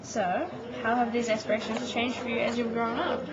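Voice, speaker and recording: A woman's voice: on the high side, level tone, moderate pace. A woman interviewing, asking short, plain questions with encouraging murmurs in between. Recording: muffled recording over loud crowd noise.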